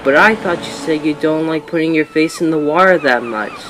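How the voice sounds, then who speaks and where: Guy as a friendly talking train, caring, pretty high voice, bit of music behind. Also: fairly quick, close to an everyday voice, low-quality recording. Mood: concerned. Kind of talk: amateur audio drama voice acting.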